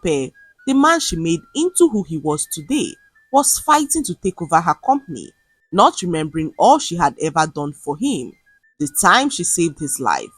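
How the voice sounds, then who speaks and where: A woman narrating a scripted dramatic folktale as a storyteller, with a slightly husky voice. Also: sits low, dry recording, low-quality recording.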